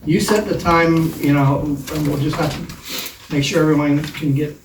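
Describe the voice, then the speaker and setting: Older American man, slightly high voice, informal meeting chat, echoey room. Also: moderate pace. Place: small-town council chamber, indoors. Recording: echoey room, distant mic, noisy.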